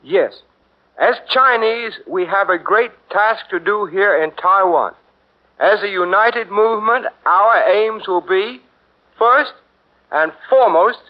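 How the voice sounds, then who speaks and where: An actor playing a determined male resistance leader in a 1940s radio drama, speaking in a solemn, deliberate way. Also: high voice. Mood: resolute. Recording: dull 1940s radio broadcast.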